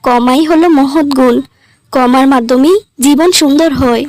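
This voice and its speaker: A woman voicing a kind, forgiving elder sister-in-law with a gentle, considerate manner in a cartoon. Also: high voice, moderate pace, no room sound, lightly acted. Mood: pleading. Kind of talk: cartoon dialogue.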